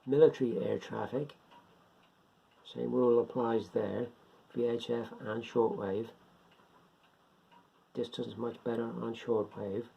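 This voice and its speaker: A man giving an informal, unscripted beginners' explainer in a rambling, step-by-step way, repeating himself, with a gravelly, husky voice. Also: medium pitch, fairly even intonation, slow, long pauses, dark tone. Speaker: hobbyist presenter of a beginners' guide. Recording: dull lo-fi home recording.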